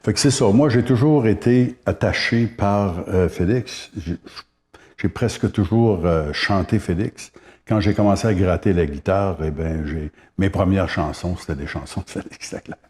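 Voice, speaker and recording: A Québécois man in his seventies with a very low, mellow voice speaks as a guest. He reminisces at an unhurried pace, pausing often on hesitations. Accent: Québécois French. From Gaspé Peninsula, Quebec. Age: 70s. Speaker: singer-songwriter guest. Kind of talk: casual interview chat.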